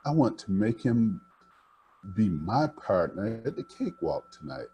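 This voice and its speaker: Older man playing a determined storybook country girl, eager, folksy, husky tone, swooping intonation. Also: fairly low pitch, sing-song, measured pace, warm tone, full character voice.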